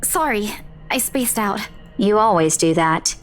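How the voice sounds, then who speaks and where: An anxious, scatterbrained young woman from a visual novel, acted out by a man. The voice is very high and swoops animatedly, with music playing behind it. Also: animated, a little slow. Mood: flustered. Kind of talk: visual novel character read aloud.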